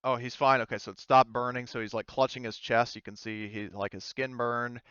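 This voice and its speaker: A man's voice: medium pitch, level tone, brisk, bright, forceful tone, smooth, dull audio. A man acting as game master, narrating a tabletop roleplaying game in a casual, chatty style.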